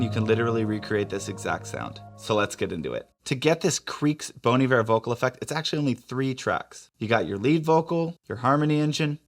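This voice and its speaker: Male tutorial host, mid-pitched, very quick delivery, informal and enthusiastic. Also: fairly bright tone. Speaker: music production tutorial host. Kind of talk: casual tutorial narration.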